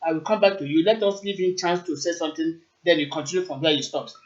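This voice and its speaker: A man's voice: a little high, fairly even intonation, fast. A man hosting a live call-in radio stream, politely taking callers and switching between Igbo and English. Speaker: radio host. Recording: muffled lo-fi stream.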